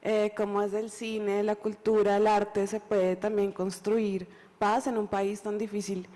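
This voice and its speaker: A woman from Colombia introducing herself on a panel in a composed, even manner. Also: mid-range voice, a little slow. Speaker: panelist, co-director. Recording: reverberant hall.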